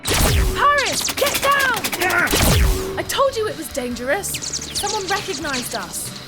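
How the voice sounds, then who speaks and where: Very high-pitched, excitable young adventurer. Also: female voice, slow pace, full character voice. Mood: urgent, alarmed. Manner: exclamatory, urgent. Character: feisty, excitable young female adventurer companion. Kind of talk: science fiction audio drama acting. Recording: loud music under the voice.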